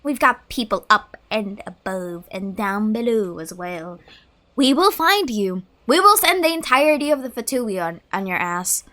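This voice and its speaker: A woman's voice: mid-range voice, big pitch swings, normal speed, pushed and bright. A woman gaming streamer giving casual, playful and mock-dramatic commentary over gameplay.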